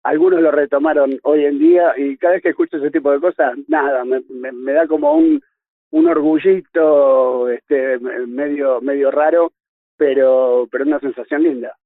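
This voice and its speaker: A man's voice: on the high side, normal speed, no room sound. An Argentine man reflecting casually as an interviewee, hesitantly searching for words. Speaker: journalist, interviewee. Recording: tinny phone-like line, dry.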